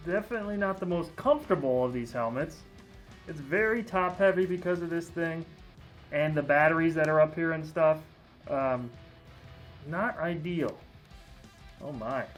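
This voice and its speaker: Higher-pitched man, grumbling reviewer, music behind. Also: a little slow, long pauses. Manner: mildly grumbling, candid. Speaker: toy reviewer. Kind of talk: casual unboxing and review talk.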